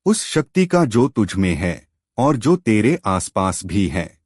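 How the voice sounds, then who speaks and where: A man's voice: on the low side, sing-song, slow pace, darkish tone, no echo, clean studio sound, heavily acted. A man voicing a wise, solemn inner spirit that instructs a hero in a fantasy audio story.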